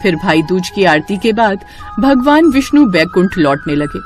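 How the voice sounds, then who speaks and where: A woman narrating a scripted devotional bedtime story in an expressive, reverent manner. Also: mid-range voice, moderate pace, faint music behind.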